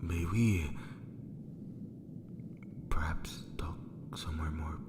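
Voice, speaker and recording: A man playing a reserved, courteous lord in an audio drama, speaking formally and haltingly. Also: rumbling low, heavy background noise. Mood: awkward, hesitant. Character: reserved, courteous male lord, awkward and hesitant in love. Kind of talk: fan audio drama acting.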